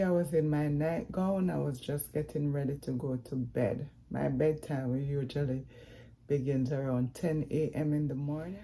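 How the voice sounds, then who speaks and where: Woman, Jamaican accent, husky, slow unhurried talk, earnest vlog chat. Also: low-pitched, a little hiss or hum, low-quality recording. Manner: earnest, repetitive, addresses viewers as guys. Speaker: vlogger. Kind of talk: casual vlog update.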